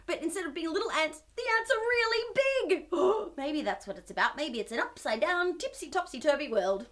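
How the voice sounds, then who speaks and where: A woman with a high, very lively voice hosts a storytime for children. She speaks with exaggerated wonder, putting questions to the listeners and swooping up and down as she goes. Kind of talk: children's read-aloud and singalong.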